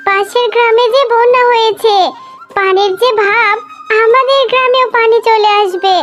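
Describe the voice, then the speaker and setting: Woman voicing an earnest, very high-pitched cartoon jackal wife. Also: fairly even intonation, normal speed, fairly bright tone, slight background noise. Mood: earnest, insistent. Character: caring young wife jackal, earnest and helpful. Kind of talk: cartoon dialogue.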